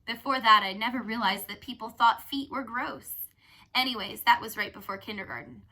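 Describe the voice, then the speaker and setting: A woman's voice: on the high side, a little fast, bright, forceful tone. A woman voicing a frank, curious, chatty young teen girl in a children's storybook. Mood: animated. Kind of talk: storybook character reading.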